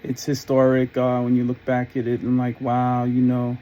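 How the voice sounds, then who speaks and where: Middle-aged man, soft dark tone, casual storytelling, conversational, rambling and reflective. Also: mid-range voice, monotone, moderate pace, muffled recording. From New York area, US. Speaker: storyteller, former concert promoter.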